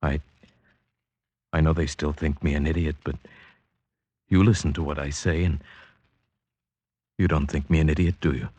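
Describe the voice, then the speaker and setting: An actor playing an earnest, lovestruck outsider in an old-time radio drama, speaking in an ardent, lyrical, deliberate way. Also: male voice, extremely low pitch, fairly even intonation, darkish tone, husky, no room sound. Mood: tender, yearning. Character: earnest, lovestruck sighted outsider, scorned as village idiot.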